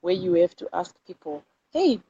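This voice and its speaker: A woman's voice: fairly low pitch, very animated, normal speed, warm tone. Zimbabwean woman on dull, noisy audio. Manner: emphatic, repeats phrases for emphasis. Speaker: host of live videos. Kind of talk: informal motivational talk to camera. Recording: dull lo-fi audio with clear background noise.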